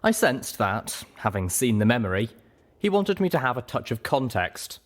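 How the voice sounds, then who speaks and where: A man's voice: medium pitch, big pitch swings, normal speed. A man reading scripted first-person fiction as a storyteller. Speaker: story reader.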